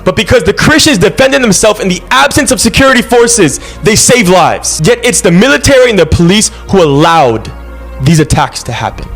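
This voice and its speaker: A man's voice: sits high, very animated, moderate pace, fairly bright tone, faint music behind. A man recording an impassioned video statement, urgent and accusatory.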